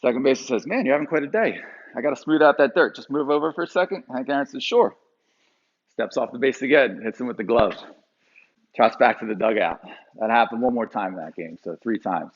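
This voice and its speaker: A man in his forties from Pennsylvania, telling a personal story to a live audience with animated delivery and frequent fillers. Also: mid-range voice, fairly quick, muffled recording. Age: 40s.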